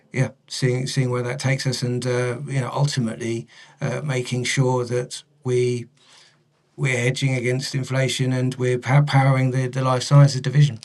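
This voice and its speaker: A man's voice: medium pitch, a little mellow. A man, a company director, explaining strategy in an interview, hesitantly breaking his phrases into pieces.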